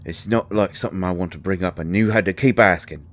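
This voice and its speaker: An actor playing a grieving, gruff and defensive fairy husband in a roleplay audio drama, speaking haltingly and with raw emotion. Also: male voice, deep voice, slight background noise, natural voice. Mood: hurt, bitter. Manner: halting, emotional, swinging from snappish outbursts to quiet murmurs.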